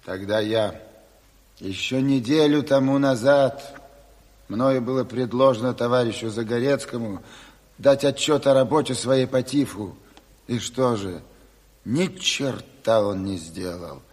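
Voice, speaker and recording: A man's voice: mid-range voice, unhurried, darkish tone, close to an everyday voice. An actor playing a blunt, commanding revolutionary committee chairman, brusque and businesslike, in a Soviet radio play. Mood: angry, firm. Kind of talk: 1970s Soviet radio drama acting. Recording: reverberant hall, old radio play recording.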